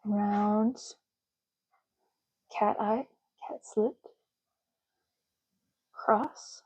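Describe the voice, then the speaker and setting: A woman speaking softly and breathily in an unhurried ASMR roleplay, as a clerk helping a customer, gently lingering on and repeating words. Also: medium pitch, fairly even intonation, low-quality recording. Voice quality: soft, breathy, at times dropping to a whisper. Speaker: ASMR creator playing an ID card clerk in a roleplay. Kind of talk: ASMR roleplay, soft-spoken and casual.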